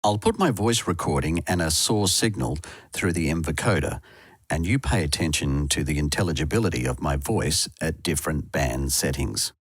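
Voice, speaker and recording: Deep voiced guy reading a tutorial script, close mic, super dry sound. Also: animated, moderate pace, husky, no echo. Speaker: tutorial presenter. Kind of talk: scripted instructional narration. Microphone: close dry voice-over microphone.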